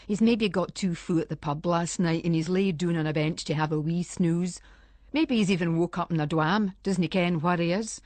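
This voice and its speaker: A woman's voice: on the low side, no room sound. A woman playing an anxious Scottish wife in a radio monologue, talking in colloquial Scots as if to a friend. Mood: fretful. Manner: colloquial, conversational, addressing an unseen friend. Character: anxious, chatty Scottish wife fretting over missing husband. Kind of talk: dramatic monologue in Scots dialect, radio story reading.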